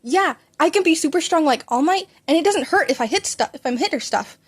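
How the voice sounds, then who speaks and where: A woman reading a story and voicing an excited little boy who chatters eagerly in childlike run-on phrases. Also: high-pitched, fairly quick, voice pushed up. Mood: boastful, excited.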